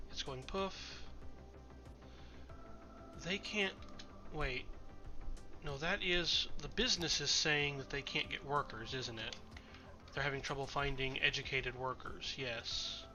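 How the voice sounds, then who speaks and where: A man with a congested, slightly nasal voice rambles through his game stream in a chatty, self-correcting way. His voice sits a little high for a man, and music plays clearly behind him. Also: pushed and bright. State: congested from a cold. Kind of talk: casual gameplay commentary.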